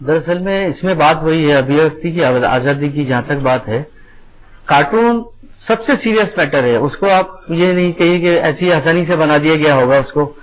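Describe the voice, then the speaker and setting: A man speaking as a guest on a live TV discussion, explaining his view in a measured way. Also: on the high side. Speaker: cartoonist, panel guest. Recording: dull, muffled TV broadcast audio.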